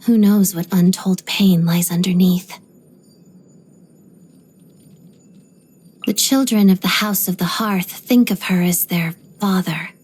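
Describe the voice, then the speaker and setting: An actress voicing a reserved, stoic young ballerina in a video game, speaking softly and breathily in a measured, restrained way. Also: female voice, lowish voice, fairly even intonation, breathy edge. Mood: solemn, reverent. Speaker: video game character voice actor. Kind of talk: scripted game voice lines, introspective monologue.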